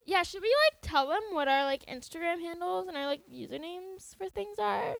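A woman's voice: very high-pitched, normal speed, vocal fry, dry recording. A twenty-year-old woman co-hosting a podcast, chatting casually in a bubbly, giggly way with lots of "like.". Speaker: podcast co-host. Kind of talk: casual chat.

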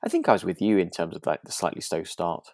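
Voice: low voice, wildly swooping pitch, fast, a little mellow, no room sound, clean studio sound. A British podcast co-host chatting casually about a radio drama in a hesitant, conversational way.

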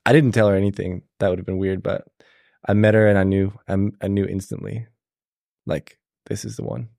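A young man from Switzerland, a podcast guest, telling his story in a relaxed, halting way with many fillers. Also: fairly low pitch, gravelly, no echo, clean studio sound. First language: Swiss German. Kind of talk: casual interview storytelling.

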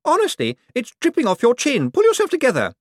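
Man doing spoiled rich young woman, shrill, dismissive, swooping voice, dry sound. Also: huge pitch swings, fairly quick, no echo, exaggerated voice. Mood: bossy.

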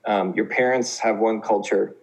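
A man's voice: on the low side, fairly even intonation, moderate pace, creaky, a little mellow, low-quality recording. A man in his thirties from Oklahoma, a teacher, talking casually as a guest in an interview, with many fillers and restarts. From Oklahoma, USA. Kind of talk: conversational interview.

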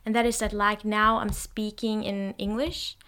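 A young Norwegian woman, tired, talking in a hesitant, reflective way, with many pauses and fillers. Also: mid-range voice, little pitch movement, pushed and bright. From Norway. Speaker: podcast host. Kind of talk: casual solo monologue. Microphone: testing a new microphone.

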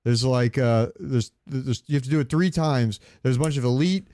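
A man's voice: medium pitch, moderate pace, mellow, no echo, studio quality. A man co-hosting a podcast, chatting casually about video games and rambling as he searches for words. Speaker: podcast co-host.

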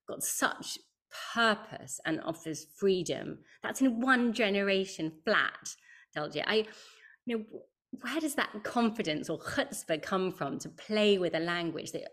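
Low-voiced woman host asking questions. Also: fairly bright tone. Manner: curious, reflective questioning. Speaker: podcast host, interviewer. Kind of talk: interview questions.

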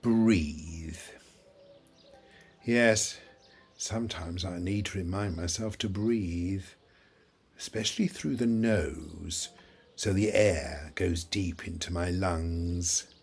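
An actor playing a forgetful, childlike, playful elderly husband in an audio play, halting and deliberate in his speech. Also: low voice, creaky, husky, full character voice. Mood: careful, self-soothing. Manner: halting, deliberate, spelling words out slowly.

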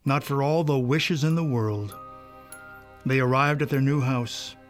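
A man's voice: medium pitch, a little slow, faint music behind. A man narrating a scripted children's story.

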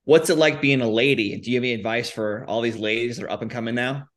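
A man's voice: medium pitch, fairly even intonation, fast talker, fairly bright tone. A man co-hosting a podcast, asking questions casually with frequent restarts and fillers. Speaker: podcast co-host.

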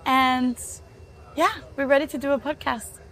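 A woman's voice: high-pitched, steady tone, measured pace, fairly bright tone, low-quality recording. A woman hosting an interview podcast, introducing her guest and asking questions.

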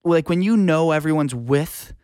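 A man's voice: a little high, normal speed, darkish tone, clear, resonant tone, dry recording, clean studio sound. A man talking casually as an interview guest, earnest and colloquial, like an audio engineer sharing experience.

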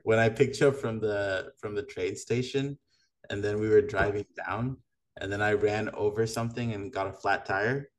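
Podcast host guy from madagascar, low voice, chill and laughs a lot. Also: fairly even intonation, moderate pace. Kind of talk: casual chat.

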